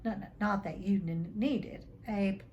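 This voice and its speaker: A woman's voice: medium pitch, moderate pace, a little mellow, muffled recording, lightly acted. A woman voicing a timid young male professor who stammers heavily and mutters in a read-aloud story. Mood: nervous, stammering. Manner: heavy stutter, muttering.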